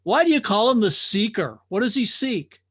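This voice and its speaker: A man's voice: high voice, big pitch swings, moderate pace, a bit bright, breathy edge, voice pushed up, exaggerated voice. A man voicing young, skeptical drifters in a storybook parable, with a brash, questioning delivery. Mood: incredulous, challenging. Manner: questioning, incredulous.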